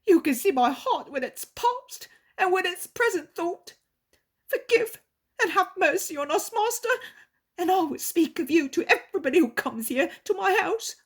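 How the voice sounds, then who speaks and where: Woman putting on a high, loud, pleading innkeeper guy, begging then gushing. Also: sing-song, normal speed. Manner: fervent, pleading, emotional. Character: repentant older male innkeeper, pleading, overjoyed.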